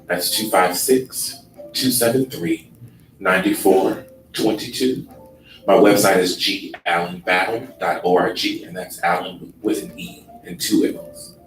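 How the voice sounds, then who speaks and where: A man giving a relaxed workshop presentation in a conference room, with a sleepy, laid-back, unhurried delivery full of ums. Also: deep voice, music in the background. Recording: echoey room from far off with noise.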